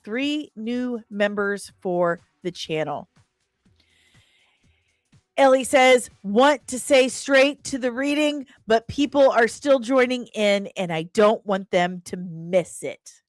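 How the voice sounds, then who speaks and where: A woman hosting a casual livestream, chatting and reading out comments in a playful, expressive, sing-song way. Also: a little high, takes it slowly, no room sound. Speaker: live stream host reviewing comics and screen news. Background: faint background music.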